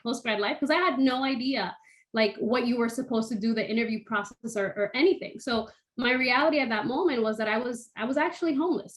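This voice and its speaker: A candid young woman from the Dominican Republic speaks on a video call panel, her voice sitting a little high. She talks conversationally and often quotes her own past thoughts.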